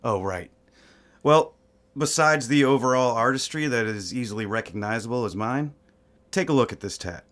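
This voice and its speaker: An actor playing a laid-back, world-worn tattoo artist, talking casually in an audio drama. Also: male voice, mid-range voice, lively intonation, normal speed, a bit bright, natural voice. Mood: casual, proud. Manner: easygoing, casual.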